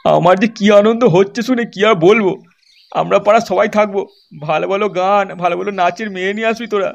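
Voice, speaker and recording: A nosy old neighbourhood uncle from a comedy cartoon, acted by a man. He grumbles pushily in a high voice and talks fast. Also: vocal fry, a little hiss or hum. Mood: nosy, pushy. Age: older.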